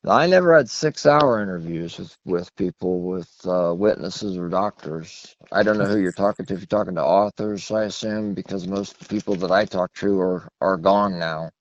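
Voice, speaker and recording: A man's voice: normal speed, few pauses, dry recording. A man being interviewed by phone as an author, talking in a long-winded, anecdotal, self-deprecating way. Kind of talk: rambling phone interview conversation. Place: phone call. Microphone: telephone. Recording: muffled low-fidelity phone line.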